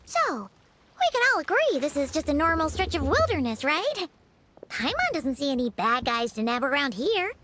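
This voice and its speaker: A woman voicing a tiny, childlike fairy companion in a video game, squeaky, bubbly and chatty. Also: very animated, normal speed, noisy background. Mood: doubtful, puzzled. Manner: bubbly, emphatic, speaks of herself by name. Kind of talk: scripted video game dialogue.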